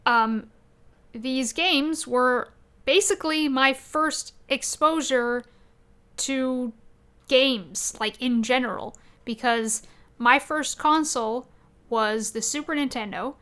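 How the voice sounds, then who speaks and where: A woman talks casually as a podcast co-host. Her voice sits fairly high and has a clearly bright, forward edge. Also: slow pace.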